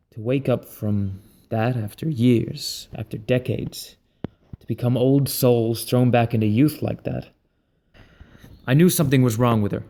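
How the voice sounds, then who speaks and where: A man playing a haunted, intense leader of dream thieves in an audio drama, speaking in a brooding, deliberate way. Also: medium pitch, mellow, natural voice.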